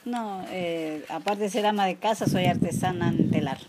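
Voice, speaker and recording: A low-voiced woman in her forties from rural Argentina, Mendoza accent, telling her life story plainly and haltingly to a visitor, with clear noise around her. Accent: rural Argentine Spanish from Mendoza. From rural Mendoza province, Argentina. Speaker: interviewee, artisan weaver. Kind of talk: informal oral history interview. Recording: clearly noisy background with clicks.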